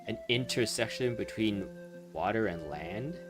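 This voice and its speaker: A man's voice: on the low side, expressive, measured pace. A man giving casual, rambling game commentary in a wry, amused manner. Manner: wry, amused, chuckles while musing. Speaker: let's play streamer commenting on a game. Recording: game music playing behind. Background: video game music and dialogue.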